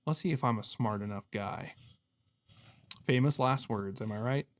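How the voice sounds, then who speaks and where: A man's voice: on the low side, normal speed. A man giving casual let's-play commentary as a gaming host, talking to himself and poking fun at his own fumbles. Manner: self-deprecating, talks to himself while puzzling.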